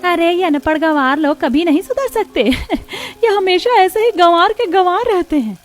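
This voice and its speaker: A woman's voice: very high-pitched, normal speed. A woman voicing a haughty, scornful rich modern neighbour in a cartoon, snobbish and mocking in manner.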